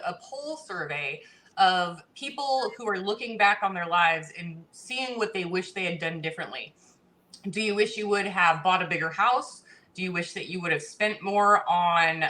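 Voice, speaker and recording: A woman in her forties speaks in a bright, pushing tone. She is blunt and emphatic, and she hammers her points with repetition in a casual interview. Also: lowish voice. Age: 40s. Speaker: interview guest.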